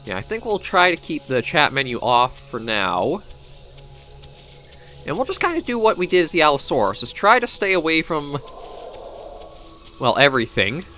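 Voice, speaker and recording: A man's voice: a little high, sing-song, moderate pace, long pauses, sharp tone, faint music behind. A man with a Canadian accent who is coming down with a cold, giving casual, rambling gameplay commentary as he thinks aloud. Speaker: gaming video host.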